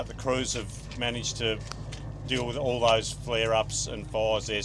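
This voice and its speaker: An Australian man, a fire incident controller, giving an informal, halting briefing outdoors. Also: mid-range voice. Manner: informal, halting, with many fillers and restarts. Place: outdoors, with a helicopter overhead. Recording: outdoor recording with loud background noise.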